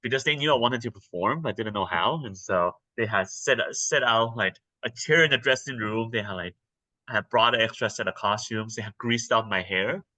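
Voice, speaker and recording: A young man from Taiwan, answering interview questions in a relaxed, hesitant way with many ums and restarts. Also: fairly low pitch, moderate pace, no echo, rough recording. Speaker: interview guest, actor.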